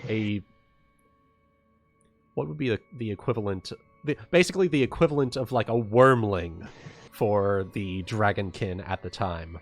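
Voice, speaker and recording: A man narrating a tabletop fantasy game as game master, deliberate and dramatic. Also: on the low side. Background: music.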